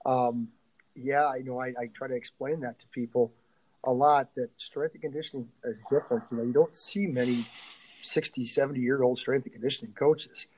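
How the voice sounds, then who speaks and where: Middle-aged man, halting and conversational. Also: mid-range voice, steady tone, a little mellow, poor audio. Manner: halting, thinking aloud, trailing off. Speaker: college strength and conditioning coach being interviewed. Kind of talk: casual interview conversation.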